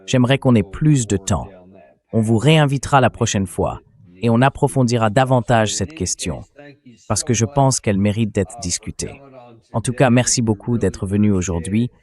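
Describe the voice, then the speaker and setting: A man reading the dubbed translation of a political interview host in a measured voice-over manner. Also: on the low side, darkish tone.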